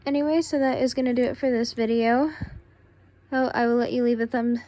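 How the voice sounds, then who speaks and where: A young woman with a tired, raspy voice, casually talking through a craft tutorial step by step while she works. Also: fairly high pitch, fairly quick. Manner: relaxed, talks while working with hands.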